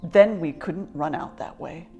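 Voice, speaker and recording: A woman's voice: sits low, a little slow, warm tone, lo-fi sound, faint music behind. A woman voicing clever little rabbits in a children's storybook, innocently helpful and sweetly agreeable. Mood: slyly helpful.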